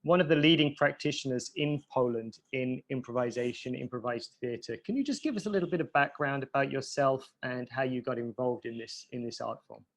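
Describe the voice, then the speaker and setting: A man's voice: medium pitch, fairly quick, hardly any pauses. Male host, native English speaker, easygoing and chatty. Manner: friendly, chatty, uses fillers. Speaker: podcast host. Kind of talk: casual podcast interview.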